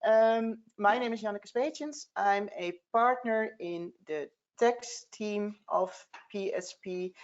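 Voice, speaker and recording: A woman with a Dutch accent hosting a business webinar, speaking in a measured way with frequent fillers. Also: mid-range voice, dull audio. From Netherlands. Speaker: tax partner hosting a webinar.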